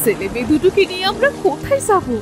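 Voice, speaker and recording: A woman's voice: extremely high pitch, huge pitch swings, rapid-fire, over music, exaggerated voice. A woman voicing a caring, anxious village wife and mother in a cartoon story, coaxing as she speaks. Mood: worried. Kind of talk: Bengali cartoon story voice acting.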